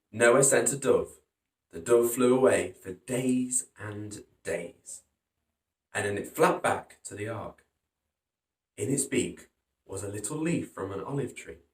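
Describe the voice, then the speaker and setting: Man reading children's stories in an echoey room. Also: fairly low pitch. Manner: dramatic, emphatic storytelling. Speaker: storytime host reading picture books. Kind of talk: expressive children's story narration. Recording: roomy echo, distant from microphone.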